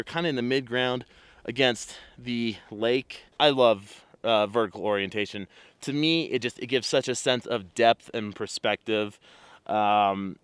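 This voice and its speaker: A man photographing a calm mountain lake at dawn, explaining his camera settings in a relaxed way with many fillers while sounding congested from a cold. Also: medium pitch, clean tone, no room sound. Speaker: landscape photographer. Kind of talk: casual on-location vlog.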